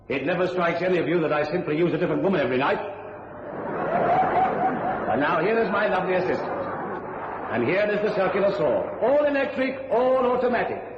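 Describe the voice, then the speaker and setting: An actor playing a theatrical stage magician, giving grandiose, commanding patter in a radio thriller. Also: male voice, high-pitched. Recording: echoey theatre sound, muffled, faint noise.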